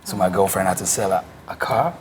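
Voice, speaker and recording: A man's voice: deep voice, slight background noise. A man, a guest musician telling stories earnestly in a casual panel chat. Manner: quick, earnest storytelling.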